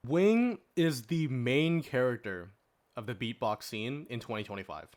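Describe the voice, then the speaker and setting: A man's voice: medium pitch, lively intonation, a little slow, darkish tone. A man co-hosting a podcast, giving his opinions emphatically in casual conversation. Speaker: podcast co-host. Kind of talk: casual chat.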